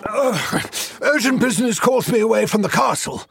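Earnest, expressive fantasy sorcerer mentor. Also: male voice, sits high, moderate pace, vocal fry, background noise. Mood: hurried. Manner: earnest, explanatory. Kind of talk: fantasy audio drama acting.

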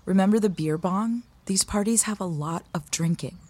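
A woman's voice: mid-range voice, moderate pace, a little mellow, close to an everyday voice. A woman playing a concerned, frank young college rowing mentor in a naturalistic audio drama. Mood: worried, frank. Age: young adult. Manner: frank, earnest.

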